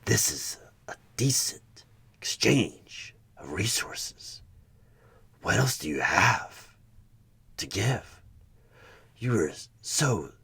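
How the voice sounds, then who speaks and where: A man playing a sinister evil tempter in a horror ASMR roleplay, coaxing and menacing in a slow, raspy half-whisper as a job interviewer. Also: low-pitched, fairly bright tone, full character voice. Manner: slow, deliberate, persuasive, repeating words for emphasis.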